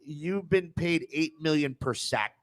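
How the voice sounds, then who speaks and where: A man's voice: fairly high pitch, a little slow. A man hosting a sports talk panel, blunt and argumentative, cutting in during heated banter.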